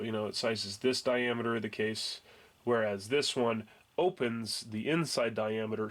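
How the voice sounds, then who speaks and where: A man's voice: medium pitch, a bit bright. A young man with a congested voice from a cold, casually explaining his process at a garage workbench, rambling with fillers and restarts. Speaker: home reloading hobbyist.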